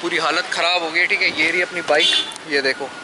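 A man's voice: fairly high pitch, fairly even intonation, quick pace. A young man chatting casually to viewers as a travel vlogger, often checking in with them, recorded outdoors on a handheld camera. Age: young adult. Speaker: travel motovlogger. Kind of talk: casual vlog chat. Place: outdoors at roadsides, underpass and street market. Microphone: camera held at arm's length. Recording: noisy outdoor street with traffic.

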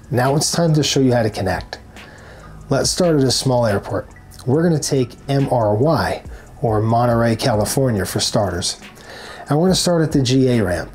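A man's voice: mid-range voice, darkish tone. A man in his forties giving scripted, instructional narration to camera as a tutorial presenter. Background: background music.

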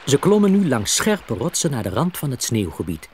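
An actor as the narrator of a children's radio play, a man telling the story in a husky voice. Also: medium pitch, sing-song, moderate pace, a little hiss or hum, natural voice. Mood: storytelling.

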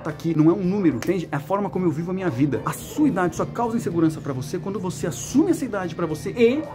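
A man's voice: high-pitched, expressive, racing, over music. A man in his thirties with a Brazilian Portuguese accent, giving relationship advice straight to the camera on an outdoor patio, emphatic and repetitive, gesturing as he talks. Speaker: relationship coach. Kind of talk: direct-to-camera advice vlog. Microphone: camera at close range.

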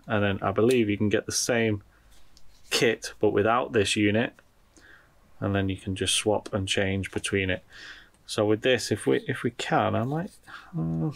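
Deep, creaky-voiced man chatting through an unboxing. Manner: informal, thinking aloud. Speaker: product reviewer. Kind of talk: casual unboxing commentary.

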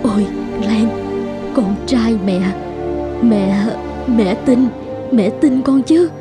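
A woman's voice: on the high side, very slow, loud music behind the voice. An actress playing a devoted, emotional mother in a cartoon, tender, earnest and dramatic. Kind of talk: Vietnamese cartoon voice acting.

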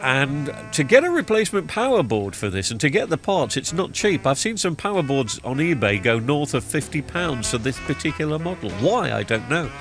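A man's voice: on the high side, big pitch swings, moderate pace, hardly any pauses, fairly bright tone. A middle-aged man with a blocked-up nose from a cold, chatting loosely through a voiceover about a TV repair with lots of ums and uhs. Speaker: TV repair vlogger. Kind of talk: casual voiceover commentary. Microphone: studio microphone recorded in post-production. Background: background music.

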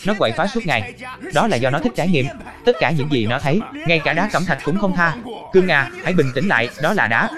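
A man voicing a chatty, excitable character in a dubbed cartoon. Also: on the high side, fast talker, crowd noise, natural voice. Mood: amused storytelling. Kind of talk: dubbed cartoon dialogue and storytelling. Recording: music under the voice. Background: music.

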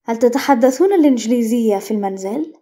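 Fast, mellow woman reading translations. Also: on the high side, lively intonation. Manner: brisk, clipped reading. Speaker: Arabic translation narrator. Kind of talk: scripted translation narration.

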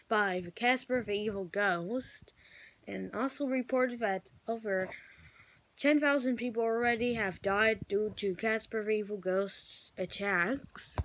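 A woman improvising mock emergency broadcast announcements in a halting way, correcting herself as she goes. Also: on the high side, poor audio. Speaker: amateur vlogger play-acting emergency alerts.

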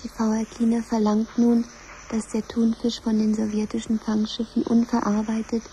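An actress playing the official computer voice of a command centre in a 1970s radio drama, formal, measured and ceremonious. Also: female voice, a little high, monotone, dark tone, slight background noise, lightly acted, rough recording. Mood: solemn, official.

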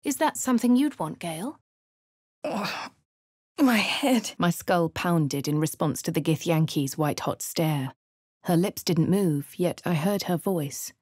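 A cool, self-assured young adventurer with a posh English accent, recorded on a close studio mic in a dry booth. Her voice sits fairly low for a woman, and she delivers lines crisply with a dry, wry edge. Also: animated, unhurried, a little mellow, dry recording. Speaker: player character. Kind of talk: video game voice lines.

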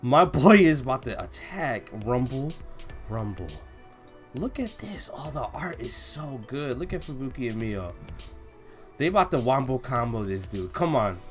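Expressive young man, casual reaction host. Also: mid-range voice, unhurried, soft background music. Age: young adult. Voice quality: slangy, informal. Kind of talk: casual reaction commentary and read-along. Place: small indoor room at home.

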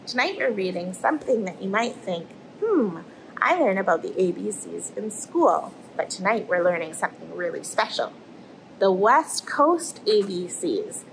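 A woman, reading a picture book aloud to children in an animated storytelling manner. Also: mid-range voice, creaky, a little hiss or hum, rough recording. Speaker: storybook reader and host. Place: indoors in front of an aquarium tank.

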